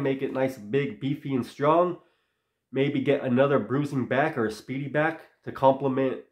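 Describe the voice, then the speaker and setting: A man's voice: mid-range voice, echoey room. A man with a stuffy nose from being sick, hosting his own channel and giving rambling, opinionated football takes. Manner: rambling, opinionated, talks in loose runs. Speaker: sports channel host. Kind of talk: casual solo sports commentary.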